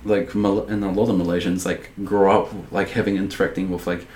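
A man's voice: sits low, little pitch movement, a little fast, darkish tone, reverberant. A young man, a podcast guest, talking casually, rambling and full of restarts and "like". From New Zealand. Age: young adult. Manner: rambling, heavy use of "like", many restarts.

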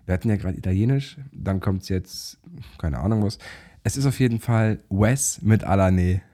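A man's voice: sits low, moderate pace, warm tone. A man co-hosting a casual podcast, teasing the others and laughing a lot. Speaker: podcast co-host. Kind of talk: casual banter.

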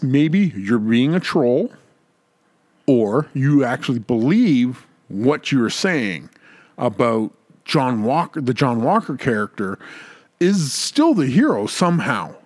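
A man's voice: mid-range voice, big pitch swings, takes it slowly, a little mellow, no room sound. A man co-hosting a podcast in casual, opinionated chat, making points emphatically and repeating words for stress. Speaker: podcast co-host.

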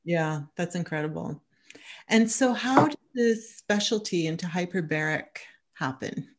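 A woman's voice: lowish voice, animated, measured pace, noisy background. A woman hosting an interview, affirming her guest's answers and asking questions. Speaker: host.

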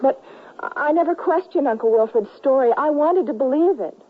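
An actress playing an earnest, pleading young daughter in a western radio drama, with a soft-hearted, imploring manner. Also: female voice, high-pitched, animated, normal speed, slight background noise. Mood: wistful, confiding. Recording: muffled, narrow-band 1970s radio broadcast.

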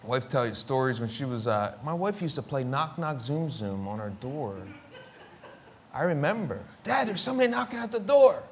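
A middle-aged male pastor with a Philadelphia accent, preaching with dramatic swings between loud declarations and hushed words, and acting out conversations as he tells stories. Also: mid-range voice, very animated, normal speed, a little hiss or hum. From Philadelphia inner city. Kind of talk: sermon.

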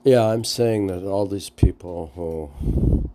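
A man talking casually in a halting, musing, repetitive way and reciting poetry as a podcast host, on an amateur recording. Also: sits low, warm tone. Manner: halting, musing, repeating phrases. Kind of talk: rambling casual talk and poem recitation.

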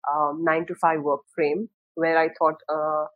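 A woman in her thirties from Dubai talks about her career on a podcast. Her speech is relaxed and reflective, full of small pauses. The recording is dry and close, with no room sound. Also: sits low, dry recording, phone-quality sound. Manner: thoughtful, many fillers and restarts. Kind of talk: casual interview talk.

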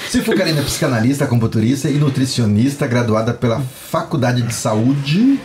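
A man's voice: medium pitch, expressive, normal speed, noisy background. A man with a Brazilian Portuguese accent hosting a podcast, joking and laughing as he reads a guest's introduction. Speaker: podcast host. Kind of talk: playful podcast banter.

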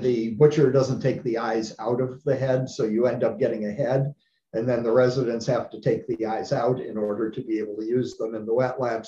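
Man, American, interview guest, measured and explanatory, mellow voice, roomy slightly dull recording. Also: medium pitch, slight background noise. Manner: measured, explanatory, frequent "uh" pauses. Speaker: ophthalmologist guest. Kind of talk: conversational interview.

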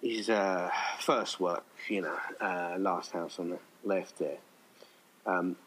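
Deep-voiced, rambling middle-aged man. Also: fairly even intonation, slow pace, a bit bright, rough recording. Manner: rambling, conversational, often circling back. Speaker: guest filmmaker being interviewed. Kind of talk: casual interview chat.

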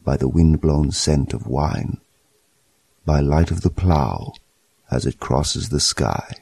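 A man's voice: very deep voice, slow, gravelly, dark tone. A man reciting a poem as a dramatic literary reading. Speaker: poetry reader.

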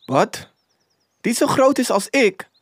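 Young errand boy voiced by a man: storybook character, eager, deferential, high lifted voice. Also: big pitch swings, takes it slowly, breathy edge, no room sound, exaggerated voice. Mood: surprised, eager.

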